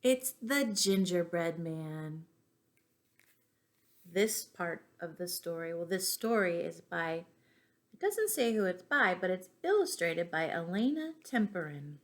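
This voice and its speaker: A woman's voice: lowish voice, big pitch swings, slow pace. A woman teacher reading stories aloud to young children, stopping for questions and asides to her listeners.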